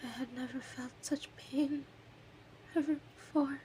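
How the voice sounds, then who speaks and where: A woman's voice: sits high, fairly even intonation, unhurried, mellow, slight background noise, poor audio. A woman playing an obsessive, lovesick kidnapper in her thirties in an intimate, emotional audio roleplay monologue. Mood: tender, wistful. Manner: clingy, emotional, swings between breathy whispers and outbursts.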